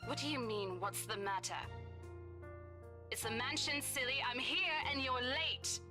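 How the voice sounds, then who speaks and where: A woman's voice: a little high, animated, measured pace. A woman voicing a sassy, impatient young real estate agent in a game, teasing and chatty, with music behind her. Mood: exasperated, teasing. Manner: teasing, chatty, dismissive of spooky rumors. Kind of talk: visual novel game voice acting.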